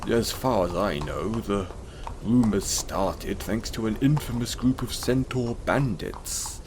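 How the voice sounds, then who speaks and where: A man playing a kind, apologetic centaur in an audio roleplay, speaking gently and hesitantly to the listener in a reassuring manner. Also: fairly low pitch, slow pace, noisy background. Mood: gentle, forgiving.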